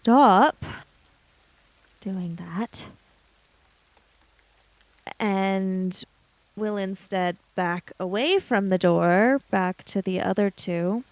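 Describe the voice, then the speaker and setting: A woman narrating her character's actions in a tabletop roleplaying game, in halting, deliberate phrases. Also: mid-range voice, darkish tone, dry recording, poor audio.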